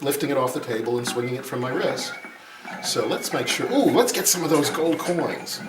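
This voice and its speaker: Guy doing an off the cuff tech demo, echoey room, lots of noise and clatter, gets sidetracked. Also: fairly high pitch. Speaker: product demonstrator. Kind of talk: informal live tech demo. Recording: echoey, noisy room, distant mic, clattering.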